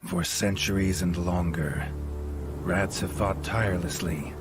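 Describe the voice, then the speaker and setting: Grave weary fantasy game storyteller, really low and slow, portentous, loud music under it. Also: male voice, steady tone. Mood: solemn, stately. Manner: solemn, deliberate, portentous. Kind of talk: slow scripted storybook narration.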